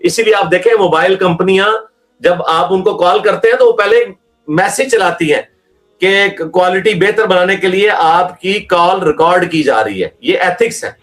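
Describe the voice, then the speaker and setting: A man's voice: sits high, moderate pace, fairly bright tone, soft background music. A man with a Pakistani accent in a question-and-answer talk, arguing emphatically as a religious scholar and throwing out rhetorical questions.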